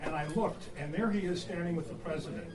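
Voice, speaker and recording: A man's voice: a little high, quick pace, a loud crowd. Distant man reminiscing in a busy room. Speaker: club member, former film editor. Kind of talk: casual reminiscing. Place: restaurant luncheon meeting. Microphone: far from the microphone. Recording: roomy, distant mic, crowded restaurant.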